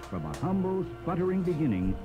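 A man's voice: on the high side, huge pitch swings, fairly quick. A man reading a scripted documentary narration in a formal, oratorical manner. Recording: dull lo-fi cassette with music behind. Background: music.

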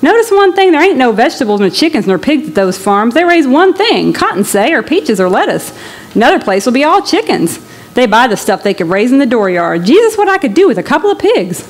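A woman's voice: high-pitched, very animated, a little fast, pitched above the performer's own voice. A woman voicing a desperate, hungry male migrant farmer in a literary reading, with folksy, pleading, impassioned rural speech. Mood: yearning. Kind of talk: storybook character in a literary reading.

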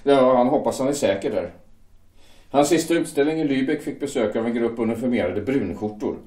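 A man's voice: medium pitch, dark tone, natural voice. An actor playing a worried shipyard foreman and family man who speaks in a hesitant, defensive way. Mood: grave. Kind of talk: amateur audio drama acting. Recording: echoey room.

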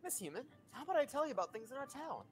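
Woman playing a very animated peppy creature guide. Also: high voice, very fast. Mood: bossy, eager. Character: bossy, peppy young female Pokemon town guide. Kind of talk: fan comic dub acting. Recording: music under the voice.

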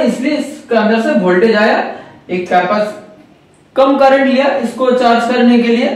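A man's voice: very high-pitched, heavy reverb, distant mic, low-quality recording. A man explaining a circuit step by step to viewers, repeating key points and calling them friends. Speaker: electronics trainer. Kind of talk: whiteboard circuit lesson.

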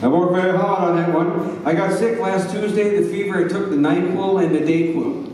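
A man's voice: sits high, steady tone, moderate pace, darkish tone. Older man doing stand-up in an echoey room. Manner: rambling, folksy, checks in with the audience. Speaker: stand-up comedian at an open mic. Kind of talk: stand-up comedy. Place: small bar stage, indoors. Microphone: handheld mic through speakers, recorded by a far camera. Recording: echoey room, distant, noisy.

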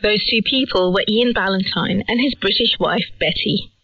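A woman's voice: mid-range voice, normal speed, husky, no echo. A woman presenting a radio documentary with scripted narration. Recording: dull lo-fi shortwave radio capture.

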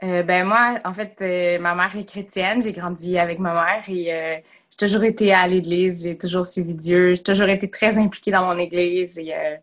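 A woman in her thirties with a Quebec French accent and a lowish voice. She talks in a friendly, easy way, with light laughs between her answers. She is heard through a laptop on a video call from a room at home. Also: fairly even intonation, normal speed. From Sherbrooke, Quebec. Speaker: drama teacher. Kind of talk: casual video-call interview. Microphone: laptop camera on a video call.

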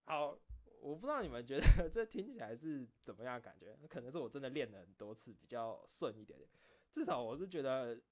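A man's voice: medium pitch, sing-song, brisk, a little mellow. A man telling personal stories in a casual streamer's chat, rambling and often repeating phrases for emphasis. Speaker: online streamer and game content creator. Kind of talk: casual late-night chat, personal storytelling.

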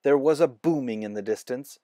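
A man's voice: medium pitch, brisk, mellow, dry recording, clean studio sound. A man narrating a children's book as a read-aloud. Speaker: storybook reader.

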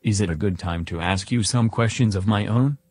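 A man's voice: lowish voice, normal speed, a little mellow, husky, dry recording. A man playing an earnest, philosophical chatbot in an interview podcast, answering in a succinct, matter-of-fact way. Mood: earnest, measured.

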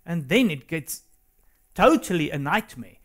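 A South African man whose first language is Afrikaans, co-hosting a religious discussion in an earnest, halting way. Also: on the high side, very animated, a bit bright. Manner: earnest, hesitant with restarts. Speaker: co-host. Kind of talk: studio discussion.

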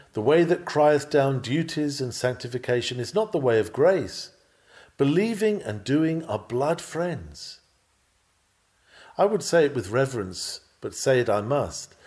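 Higher-pitched man reading a sermon. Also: darkish tone. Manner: earnest, emphatic, measured preaching delivery with formal phrasing. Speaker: reader of a sermon text. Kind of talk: sermon read aloud from a written text.

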